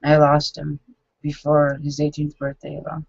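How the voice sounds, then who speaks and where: A man in his forties reading his own journal entries aloud, halting and self-critical, with frequent restarts. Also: fairly high pitch, monotone, vocal fry, dry recording. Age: 40s. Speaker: vlogger. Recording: dull lo-fi dry recording.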